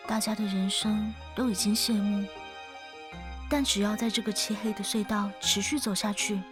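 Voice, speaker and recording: A woman playing an ageless, gentle and wistful ferrywoman of souls in an audio drama, speaking in a reflective, poetic way with a quiet teasing edge. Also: mid-range voice, flat delivery, moderate pace, natural voice. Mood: tender, solemn. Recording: studio voice over background music.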